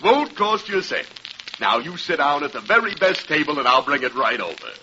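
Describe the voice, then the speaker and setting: An actor playing a sly, fawning soda fountain proprietor in a vintage radio comedy. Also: male voice, on the high side, sing-song, fairly quick, sharp tone, exaggerated voice. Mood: apologetic, generous. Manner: fawning, hesitant lead-ins. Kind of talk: 1950s radio sitcom acting. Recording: noisy, muffled old radio recording.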